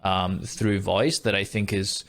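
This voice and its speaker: A man's voice: low voice, little pitch movement, measured pace, fairly bright tone. A Scottish man hosting a podcast, talking in a conversational interview style. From Scotland.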